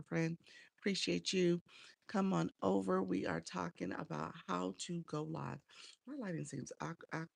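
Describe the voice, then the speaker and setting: A woman's voice: low-pitched, gravelly, dry recording, studio quality. A woman coaching on a casual livestream, chatty and encouraging as she greets viewers by name, sounding a bit congested and nasal from a cold. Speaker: live streaming coach.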